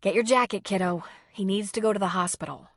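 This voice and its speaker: A woman playing a firm, brisk grown woman. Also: medium pitch, dry recording, clean studio sound, lightly acted. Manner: firm and urgent. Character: brisk, practical adult woman helping out.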